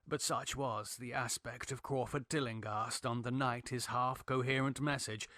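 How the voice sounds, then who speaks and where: A man reading gothic horror as a dramatic narrator, in a grave, measured, theatrical manner. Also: medium pitch, fairly even intonation, pushed and bright, a little hiss or hum. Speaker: narrator, audiobook reader.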